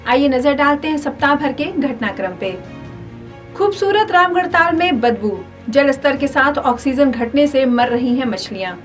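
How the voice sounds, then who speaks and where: Female news presenter, high voice, scripted bulletin delivery with music underneath. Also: expressive, normal speed, recorded from a distance.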